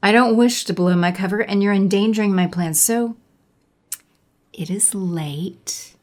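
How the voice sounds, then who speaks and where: A woman's voice: medium pitch, unhurried, darkish tone, natural voice. A woman playing a haughty, condescending villainous sorceress in an audio drama, with theatrical, menacing delivery. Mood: dismissive.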